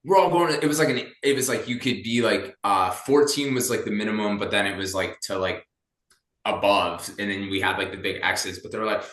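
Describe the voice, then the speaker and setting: A man's voice: lowish voice, brisk, fairly bright tone. Laughing man in his thirties, echoey room. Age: 30s. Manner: laughs often, rambling with many fillers. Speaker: podcast guest. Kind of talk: casual chat.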